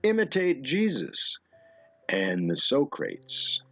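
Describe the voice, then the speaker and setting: A middle-aged man giving rambling off-the-cuff commentary in a halting, musing way, as if talking to himself at his computer. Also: medium pitch, wildly swooping pitch, fairly bright tone, soft background music. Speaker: video host. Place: indoor room at a computer.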